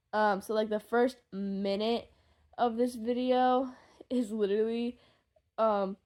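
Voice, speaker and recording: High-voiced young woman, gushing and excitable. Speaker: podfic creator and fan fiction writer. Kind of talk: casual rambling chat to listeners.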